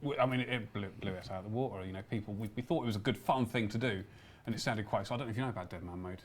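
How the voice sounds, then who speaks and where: A man chatting casually as a game developer, telling work stories in an animated way and acting out what people said. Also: fairly low pitch, racing, a little hiss or hum. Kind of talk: casual chat, recounting work memories. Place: live stream room in an office.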